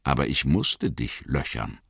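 A man voicing a grave old wizard in an audiobook, stern and patient, with a deliberate, authoritative manner. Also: rumbling low, expressive, vocal fry, fairly bright tone, slightly rough, no room sound, clean studio sound, full character voice. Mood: firm, patient.

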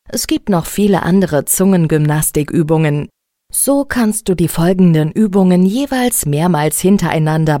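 A woman leads a speech training lesson, pronouncing each word with deliberate care at an unhurried pace. Her voice sits slightly low. The recording is dry and close, with no room sound. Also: dark tone, no room sound. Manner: slow, deliberate, clearly articulated instruction. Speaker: speech coach. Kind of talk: instructional speech exercise tutorial.

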